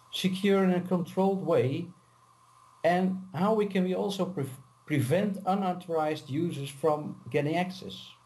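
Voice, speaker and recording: A man answering an interview question in an office, in a measured, explanatory way. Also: on the high side, a little mellow, rough recording. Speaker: solution account architect.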